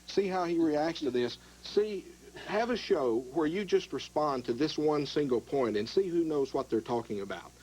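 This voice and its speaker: A man's voice: fairly high pitch, expressive, normal speed, few pauses, darkish tone, a little hiss or hum, rough recording. A man calling in to a TV discussion, combative and insistent, repeating his demands. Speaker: caller. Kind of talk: call-in television discussion.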